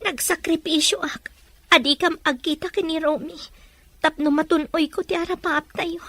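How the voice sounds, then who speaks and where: A woman's voice: sits high, steady tone, normal speed, pushed and bright, no echo. A woman with a Filipino Ilocano accent playing a plaintive young student who longs for her missing sweetheart in a drama. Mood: grieving, longing. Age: young adult. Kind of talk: drama dialogue.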